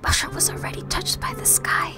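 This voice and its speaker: A woman voicing a small, excitable, anxious firefly in a game, in a breathy, questioning whisper. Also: fairly quick, loud music behind the voice, close to an everyday voice. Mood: distressed. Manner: exclamatory, questioning.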